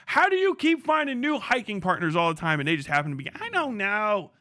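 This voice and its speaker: Irreverent, profane male streamer with a high voice, recorded dry and close. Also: very animated, fairly quick, sharp tone, no room sound. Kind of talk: casual live-stream rant.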